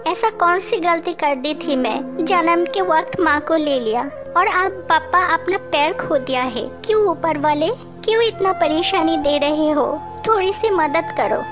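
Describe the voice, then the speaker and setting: A performer voicing a dutiful, caring, honest poor little girl in an animated moral story. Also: very high voice, a little slow, few pauses. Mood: sorrowful prayer. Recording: muffled audio, prominent background music.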